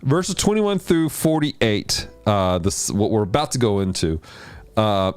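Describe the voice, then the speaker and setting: Lively man hosting a gaming stream. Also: unhurried, clear, resonant tone. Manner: animated, jumps in pitch when greeting chat. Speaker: host of a gaming and Bible study stream. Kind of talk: casual livestream chat and Bible teaching.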